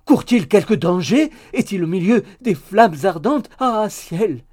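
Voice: medium pitch, sing-song, moderate pace, darkish tone, voice pushed up. A reader voicing a coy, prudish spinster maid in a story, theatrically faint and scandalized. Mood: dramatic alarm.

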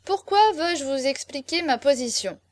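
Woman playing a high-voiced, tipsy little marquis. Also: animated, measured pace. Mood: tipsy, insistent. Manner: pompous, imperturbably tenacious. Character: drunken, stubborn little marquis, young gallant man.

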